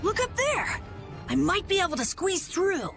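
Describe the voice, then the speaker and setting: An earnest young boy from a video game, with a high voice full of lively swings. Soft game music sits behind him. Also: normal speed, vocal fry, full character voice. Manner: earnest, eager. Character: eager young boy, earnest and a bit timid.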